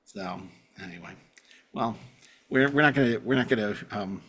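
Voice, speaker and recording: A man hosting a casual chat show, speaking haltingly and repeating his phrases. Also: fairly low pitch, fairly bright tone, breathy edge. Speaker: podcast host.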